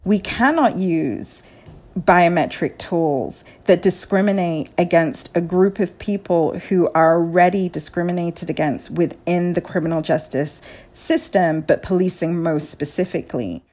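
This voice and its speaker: Low-voiced woman giving emphatic expert commentary. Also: measured pace, darkish tone. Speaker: interviewed expert. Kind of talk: interview commentary.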